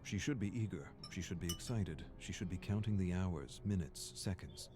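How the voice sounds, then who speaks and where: A man performing as a solemn storyteller, narrating a video game's character stories in measured, dramatic phrases. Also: deep voice, natural voice. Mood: grave, measured.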